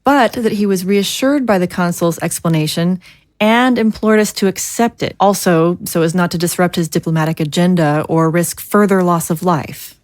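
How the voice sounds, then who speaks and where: Actress as female political leader, formal, measured, diplomatic, dry close sound, audio drama. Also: fairly low pitch, no room sound, lightly acted. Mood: measured, grave. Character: composed, formal female government leader briefing a council.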